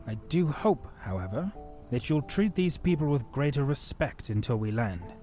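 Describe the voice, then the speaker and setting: Man acting a noble knight, stern, courteous, very varied pitch, mellow tone, fantasy audiobook. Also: big pitch swings, moderate pace, soft background music, close to an everyday voice. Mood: slightly sour. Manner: stern, formal, earnest. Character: dignified, principled lawful knight, scolding but courteous.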